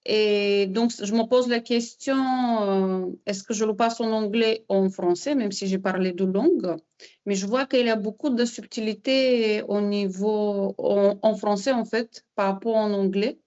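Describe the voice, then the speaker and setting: A woman's voice: mid-range voice, fairly even intonation, unhurried, darkish tone, highs cut off. A woman from Bulgaria who is not a native French speaker, asking questions in a video call and searching for her words.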